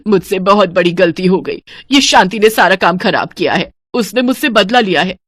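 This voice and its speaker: A woman's voice: mid-range voice, lively intonation, moderate pace, a bit bright. A woman voicing a stingy, scolding middle-aged mother-in-law in a cartoon moral story, bossy and grumbling. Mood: regretful.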